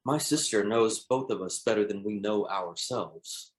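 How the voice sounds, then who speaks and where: A man's voice: lowish voice, a little slow, reverberant, lightly acted. A man playing a sardonic, petulant brother-in-law in a period drama table read, formal and cutting. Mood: petulant, wry.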